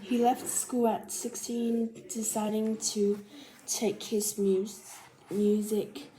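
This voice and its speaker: A woman reading a children's biography aloud like a storybook, carefully and a little haltingly. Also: mid-range voice, level tone, warm tone, low-quality recording. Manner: careful, halting reading with stumbles and self-corrections. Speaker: storybook reader.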